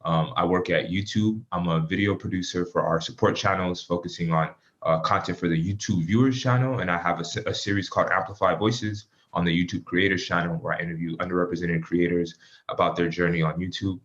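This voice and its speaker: A man in his thirties from Miami, with a very deep voice. He talks in a relaxed, rambling way, full of um and you know. His audio comes through dull and lo-fi. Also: brisk. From Miami, Florida. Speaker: guest. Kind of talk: casual interview answers.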